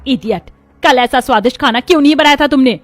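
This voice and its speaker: Woman doing a stern scolding indian mother-in-law, dramatic moral story cartoon, highish voice, music behind. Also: quick pace, dry recording, close to an everyday voice. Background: background music.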